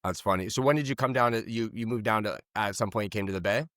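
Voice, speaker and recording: Man, from Canada, podcast host, low voice, jokey, casual swearing. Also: quick pace, a bit bright, no room sound, clean studio sound. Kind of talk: casual interview chat.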